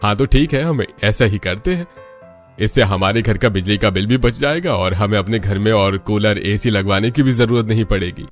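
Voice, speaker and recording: A man's voice: on the low side, normal speed, soft background music, voice pushed down, close to an everyday voice. A man voicing a thrifty middle-aged husband and father-in-law in a cartoon story, pleased and agreeing. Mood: pleased approval. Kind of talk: cartoon story character dialogue.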